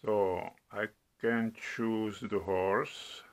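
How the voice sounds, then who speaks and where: A middle-aged man from the Czech Republic with a Czech accent, demonstrating products at home in a slow, halting way with many hesitations. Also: on the low side, fairly even intonation. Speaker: product reviewer. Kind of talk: casual product demo. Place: home room at a table.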